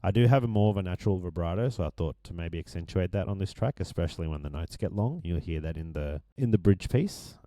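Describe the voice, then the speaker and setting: A man with a deep voice that is going hoarse, casually walking through his vocal mix with many ums and restarts. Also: expressive, quick pace, few pauses, mellow, no room sound, studio quality. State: losing his voice. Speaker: music producer explaining his mix. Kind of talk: casual screen-share tutorial.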